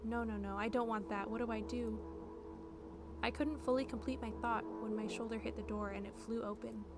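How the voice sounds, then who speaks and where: A woman streamer calmly reading game text aloud in a fairly monotone voice. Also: on the high side, a little fast, very noisy. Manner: calm, fairly monotone reading with chatty asides to viewers. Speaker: VTuber streamer. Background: game music.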